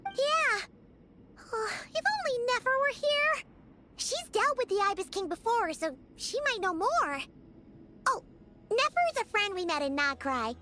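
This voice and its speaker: Very high, chirpy little fairy. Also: female voice, big pitch swings, unhurried, background noise, full character voice. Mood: wistful. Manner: refers to herself in third person, chirpy. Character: small, excitable floating fairy companion, childlike girl. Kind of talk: video game voice acting.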